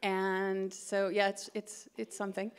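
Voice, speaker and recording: A middle-aged American woman performs stand-up into a stand mic on a theatre stage. She talks in a chatty, giggly, self-deprecating way, with a pitch that sits in the middle for a woman. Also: monotone, unhurried, clean tone. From California. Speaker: stand-up comedian. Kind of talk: stand-up comedy set. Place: theatre stage before a live audience. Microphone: stand microphone.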